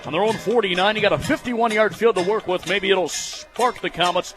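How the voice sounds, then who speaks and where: A young American man in his twenties doing live football radio play-by-play, excitable and quick to raise his voice on plays. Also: sits high, music in the background. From United States, Michigan. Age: 20s.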